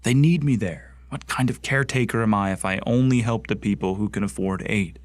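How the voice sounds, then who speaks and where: Guy doing a resentful young martian recruit, hateful rant, lowish voice, dead dry sound. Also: fairly quick, dry recording, lightly acted. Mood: bitter. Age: young adult. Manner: hateful, contemptuous ranting monologue. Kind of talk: radio drama acting.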